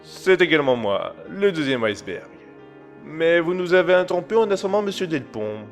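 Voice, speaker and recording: A man as a calm, scheming crime boss; coldly formal, animated pitch, slightly high, music bed. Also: normal speed. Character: cold scheming male crime boss, middle-aged. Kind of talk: amateur audio drama acting. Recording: music underneath.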